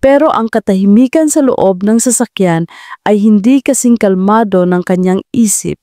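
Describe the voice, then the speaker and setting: Warm-voiced woman narrating a romance. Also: medium pitch, moderate pace, no room sound, studio quality. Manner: steady storytelling delivery. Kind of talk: scripted audio drama narration.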